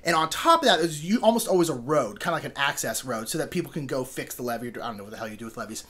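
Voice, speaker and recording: A young American man telling a personal story casually, in an animated, informal way with joking asides. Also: mid-range voice, rapid-fire, husky. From Sacramento, California. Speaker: storyteller, show host.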